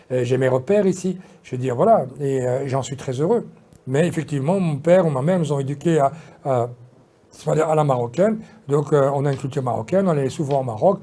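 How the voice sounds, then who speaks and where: Fast-talking man in his fifties. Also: mid-range voice, dark tone, husky. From Belgium. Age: 50s. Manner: rambling, many fillers and restarts. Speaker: politician guest. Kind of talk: casual interview talk.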